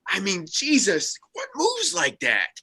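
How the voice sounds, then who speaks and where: An excitable UFO spotter acted by a man with a very bright, forceful voice. His pitch moves around a lot, and his casual, sweary talk comes out emphatic, as in a comedy script read. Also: measured pace, exaggerated voice. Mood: awed, agitated. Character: Art, excitable young male UFO spotter.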